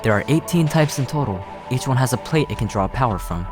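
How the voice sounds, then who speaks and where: A man voicing a lofty, coolly amused creator-god creature in a video game, with measured, pompous delivery. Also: medium pitch, expressive, natural voice. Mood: self-assured. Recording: game music behind the voice.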